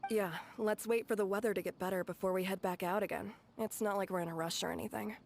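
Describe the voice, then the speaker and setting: A gentle, contented young townswoman from a video game, played by a woman muses softly in a medium-pitched voice. Clear ambient noise sits behind her. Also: steady tone, fast, close to an everyday voice. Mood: calm musing.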